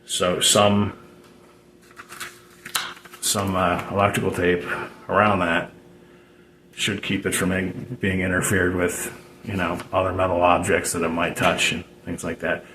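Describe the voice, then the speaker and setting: Deep voiced guy rambling thru a hobby how-to, kinda halting, roomy sound w some noise. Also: level tone. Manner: halting, rambling explanation with repeated phrases. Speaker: DIY radio hobbyist presenter. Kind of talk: casual unscripted how-to demonstration. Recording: roomy echo, noticeable background noise.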